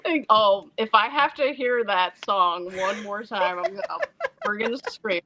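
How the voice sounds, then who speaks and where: A woman from Iceland talking casually as an interview guest about her film projects, rambling and animated, acting out quotes as she goes. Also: mid-range voice, measured pace, low-quality recording. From born in Iceland, Icelandic mother and American father. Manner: animated, rambling storyteller who acts out quotes. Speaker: interview guest, independent filmmaker and actress.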